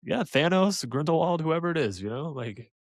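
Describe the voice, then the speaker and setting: A man co-hosting a casual podcast, animated and laughing often, trailing off into fillers. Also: a little high, moderate pace, no room sound, clean studio sound. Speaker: podcast co-host.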